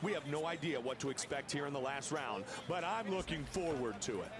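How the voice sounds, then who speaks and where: Male game announcer, brisk scripted calls, high voice, big crowd roaring. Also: expressive. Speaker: play-by-play announcer in a fight game. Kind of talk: scripted sports commentary. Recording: loud arena crowd behind the voice.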